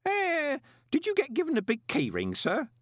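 Man acting old male postman, high swooping pitch, gossipy, ingratiating, audiobook character. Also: wildly swooping pitch, moderate pace, fairly bright tone, no room sound, studio quality, exaggerated voice. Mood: wary. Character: chatty, conspiratorial elderly male postal worker, deferential.

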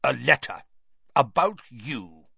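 A man voicing a gruff, short-tempered middle-aged uncle in a storybook reading, growling in clipped phrases through gritted teeth. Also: mid-range voice, sing-song, slow pace, bright, forceful tone, dry recording, lo-fi sound, heavily acted. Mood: glaring, accusing.

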